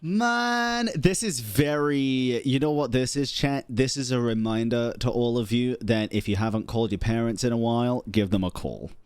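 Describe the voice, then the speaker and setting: A man's voice: medium pitch, moderate pace, hardly any pauses, clear, resonant tone, no room sound. A man giving casual, slangy live commentary as a streamer reacting to a game, with offhand interjections.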